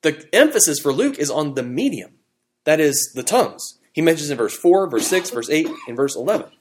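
A man with a slightly high voice teaches a Bible class to a live group in the evening. He talks it through conversationally, thinking aloud and checking in with his listeners as he goes. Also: sing-song, a little fast.

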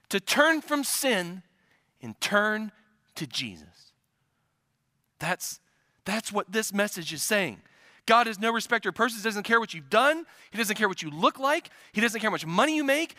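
A man's voice: very high voice, bright, forceful tone, dry recording, clean studio sound. A man from the Midwest preaching a sermon, building to urgent, emphatic repetition. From American Midwest. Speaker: pastor.

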